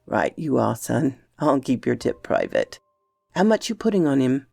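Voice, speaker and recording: Storybook uncle, read by a woman: grown man, avuncular and teasing, indulgent, swooping pitch, some gravel. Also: very animated, normal speed, dark tone, dry recording, soft background music, heavily acted. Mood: reassuring.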